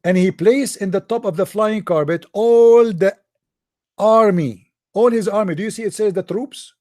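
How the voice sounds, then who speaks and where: A man's voice: high voice, moderate pace, mellow, no echo, studio quality. A man in a live online debate who reads a passage aloud and mocks it with sarcastic, mock-serious jokes. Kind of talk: live online debate, reading and mocking commentary.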